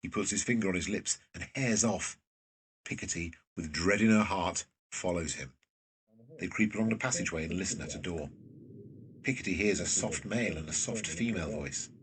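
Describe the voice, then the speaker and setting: A man with a very husky voice reading scripted present-tense narration for a drama, recorded away from the microphone. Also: deep voice, normal speed, a bit bright, recorded from a distance, a little hiss or hum.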